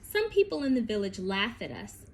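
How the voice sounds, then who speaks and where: A woman voicing an earnest, determined young village woman in a storybook, speaking sincerely and deliberately. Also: mid-range voice, wildly swooping pitch, a little hiss or hum. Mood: earnest, resolute.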